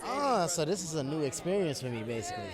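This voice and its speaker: Earnest man with a slightly high voice, crowd around. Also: big pitch swings, fast talker. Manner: earnest, casual, searching for words. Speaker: red carpet guest. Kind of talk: red carpet interview. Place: outdoor red carpet event. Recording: outdoor event with crowd.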